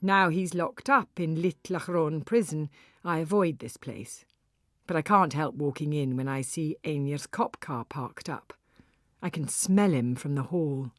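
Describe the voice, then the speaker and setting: A woman narrating a story in the first person, with an expressive, wry and theatrical delivery. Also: sits low, a little slow, no echo, studio quality. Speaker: audiobook narrator.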